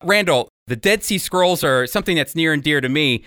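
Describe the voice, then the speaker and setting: A man's voice: fairly high pitch, lively intonation, fairly quick, sharp tone, smooth. A man hosting a radio program, speaking conversationally as he introduces and interviews a guest. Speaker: radio show host and interviewer.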